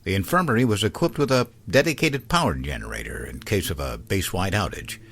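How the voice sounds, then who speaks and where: An actor playing a cautious, concerned starship medical officer in a science fiction audio drama. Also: male voice, deep voice, expressive, a little fast, gravelly, fairly bright tone, slight background noise, exaggerated voice. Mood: serious, cautious.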